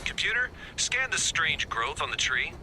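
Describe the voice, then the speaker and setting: A wisecracking field agent with a swooping delivery. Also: male voice, fairly high pitch, sing-song, moderate pace. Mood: wry. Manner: casual, wisecracking. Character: wry male field agent leading the mission. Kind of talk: video game voice acting. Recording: game audio with background noise.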